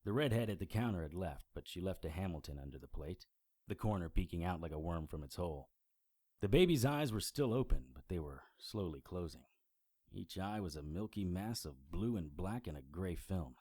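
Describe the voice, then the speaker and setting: A wry male investigator tells his case like a noir audio drama. His voice is low and dark, recorded dry, with a deadpan delivery that stays unimpressed by the strange. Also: normal speed, no echo. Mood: grim, wry. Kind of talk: first-person detective audio drama narration.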